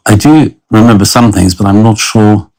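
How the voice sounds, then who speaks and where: A man's voice: fairly low pitch, normal speed, a little mellow, low-quality recording. A man of about sixty with a London accent, reminiscing conversationally as an interview guest in an understated, plain-spoken way. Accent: London English. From London, England; born in Lebanon.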